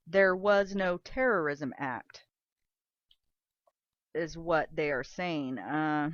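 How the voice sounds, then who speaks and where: A woman's voice: medium pitch, a bit bright, dry recording. Woman reading news, dry and dull recording. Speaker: news presenter. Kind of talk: reading news reports with informal asides.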